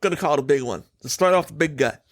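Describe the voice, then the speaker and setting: Higher-voiced man chatting on a podcast. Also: rapid-fire, no echo, clean studio sound. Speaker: podcast host. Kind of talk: casual opinionated chat.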